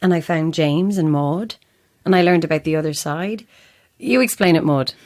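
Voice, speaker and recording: Actress as low-voiced grieving Irish mother. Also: darkish tone, no room sound, studio quality, close to an everyday voice. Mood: sombre, resolute. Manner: measured, haunted storytelling. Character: grieving mother recounting her daughter's haunting death. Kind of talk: audio drama monologue.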